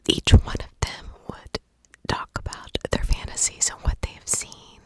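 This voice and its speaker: A woman speaks entirely in a whisper, in the style of ASMR storytelling. Her delivery is slow and hushed. She leaves deliberate gaps between short phrases as she narrates. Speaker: ASMR true crime storyteller.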